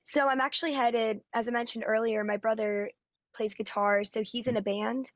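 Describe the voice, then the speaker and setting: A raspy-voiced woman talks in an easy, upbeat way, dotted with ums and likes. The sound is dull and dry, with no room around it. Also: a little high, fairly even intonation, normal speed, dry recording. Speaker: guest singer-songwriter. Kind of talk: casual interview chat. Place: Nashville area.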